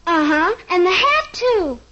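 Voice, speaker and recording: Very high, chirpy young girl, pleading, lo-fi 1950s radio transcription. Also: big pitch swings, a little slow, background noise, heavily acted. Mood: eager. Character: young girl Kathy, eager and earnest. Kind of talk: 1950s radio sitcom acting. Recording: dull lo-fi old radio transcription.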